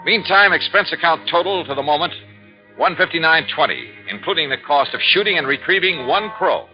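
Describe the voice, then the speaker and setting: An old, dull radio recording of a man acting a hard-boiled American investigator. He is sure of himself and wry, swinging his pitch through crisp, emphatic narration, with music around him. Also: animated, brisk, close to an everyday voice. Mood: brisk, wry. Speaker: lead radio actor. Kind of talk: 1950s radio detective acting.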